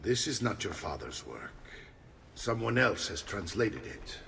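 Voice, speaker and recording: Middle-aged Italian mentor, Italian accent, bright commanding voice, theatrical, video game, slightly distant, music behind. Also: male voice, on the low side, big pitch swings, measured pace, vocal fry, slight background noise, full character voice. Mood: conspiratorial, measured. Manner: authoritative, theatrical. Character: commanding, worldly Italian uncle, veteran assassin mentor. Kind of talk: dramatic video game acting. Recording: distant, long reverb, music underneath.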